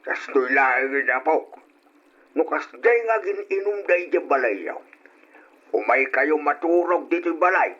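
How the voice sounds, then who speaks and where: A man playing a kindly, concerned old grandfather in a radio drama, husky and slow, giving advice. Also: on the high side, expressive, a bit bright, full character voice. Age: older. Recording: dull, lo-fi old radio recording.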